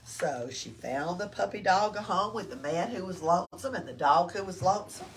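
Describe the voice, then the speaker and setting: A woman's voice: fairly low pitch, lively intonation, normal speed. An older woman reads a story aloud to young children, explaining simply and prompting them with questions. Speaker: library storytime reader. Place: small-town library. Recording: distant mic with background noise.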